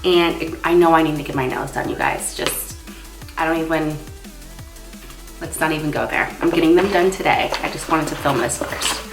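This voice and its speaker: A young woman speaks in a low voice, a little stuffy from a cold. She talks casually through a makeup tutorial as a beauty vlogger. The room adds noticeable echo, and faint music plays behind her. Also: moderate pace. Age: young adult. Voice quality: slightly stuffy, congested. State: has a cold. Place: small indoor room. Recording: roomy echo.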